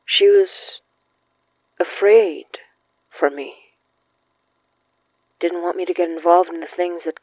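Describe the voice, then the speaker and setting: A woman playing a gentle, earnest young ghost summoner in an audio drama, speaking haltingly through a thin, radio-like filter. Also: on the low side, no echo. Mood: wistful. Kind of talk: intimate audio drama acting. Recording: thin, phone-like band-limited sound.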